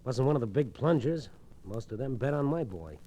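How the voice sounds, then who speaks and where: An actor playing a worried working-class boxing manager, subdued and halting, in 1940s radio drama style. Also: male voice, mid-range voice, lively intonation, mellow, natural voice. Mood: uneasy. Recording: faintly noisy old radio recording.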